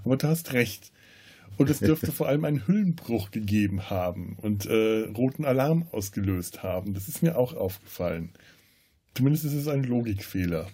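A man co-hosting a podcast, casually discussing film in a halting, searching way. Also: mid-range voice, lively intonation, warm tone, husky. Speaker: podcast co-host.

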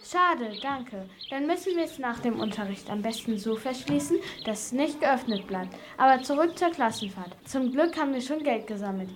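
A female performer as a high-voiced woman schoolteacher. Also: lively intonation, moderate pace, few pauses, slight background noise. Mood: brisk, friendly. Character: brisk female schoolteacher, firm with her class. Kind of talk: amateur children's radio play.

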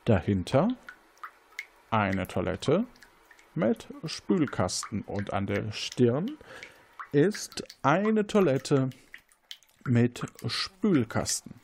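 Lively male game master with animated pitch. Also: extremely slow, gravelly, long pauses, a little hiss or hum. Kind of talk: narrating an interactive crime game, semi-improvised.

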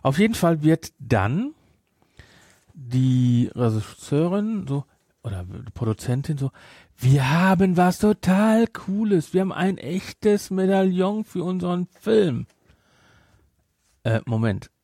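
A man's voice: a little high, big pitch swings, slow, dark tone, no echo, clean studio sound. A man hosting a podcast, chatting casually about a film with sarcastic, incredulous asides. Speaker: podcast host. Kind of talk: casual film discussion chat.

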